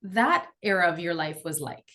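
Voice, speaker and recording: A woman's voice: fairly low pitch, sing-song, moderate pace, pushed and bright. A woman hosting a podcast, introducing her guest and asking questions. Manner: scripted introduction, then conversational. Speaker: podcast host. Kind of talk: podcast interview.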